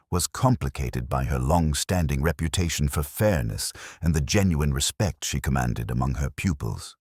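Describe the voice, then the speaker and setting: A man narrating a scripted mystery story as an audiobook, in a measured, formal storytelling manner. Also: rumbling low, no echo, clean studio sound.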